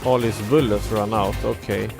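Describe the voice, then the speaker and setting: A man's voice: on the low side, steady tone, moderate pace, gravelly. A man giving casual live game commentary as a streamer, muttering in a mildly exasperated way. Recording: game music behind the voice. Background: video game music.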